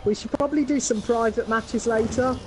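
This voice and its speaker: Easygoing English man, mellow voice. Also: very high-pitched, steady tone, fairly quick, a little hiss or hum, rough recording. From England. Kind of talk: casual gaming chat.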